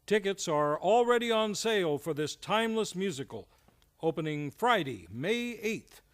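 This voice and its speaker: A man working as a radio show announcer, reading scripted credits in a formal, polished old-time radio style. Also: a little high. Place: live on a theater stage.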